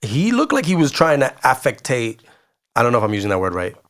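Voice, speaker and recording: A man's voice: medium pitch, wildly swooping pitch, fast, a bit bright. A man co-hosting a casual film podcast, joking and hesitating with fillers. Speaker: podcast co-host.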